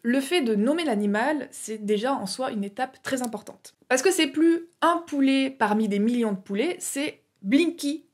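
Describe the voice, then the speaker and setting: A woman's voice: high-pitched, lively intonation, normal speed, few pauses. A young woman casually explaining an artwork in a chatty way, with frequent filler hesitations. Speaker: YouTube art explainer. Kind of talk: casual educational video narration.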